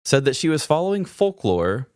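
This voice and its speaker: A man's voice: a little high, sing-song, normal speed, a little mellow, no room sound, clean studio sound. A man co-hosting a podcast in a casual, comedic way, telling stories and reading articles in a rambling, conversational manner. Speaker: podcast co-host.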